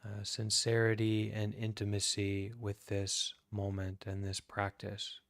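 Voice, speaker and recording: Slow-paced, smooth-voiced American man guiding meditation. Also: lowish voice, no room sound, studio quality. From United States. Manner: calm, deliberate, many pauses. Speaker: Buddhist monk leading guided meditation. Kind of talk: guided meditation talk.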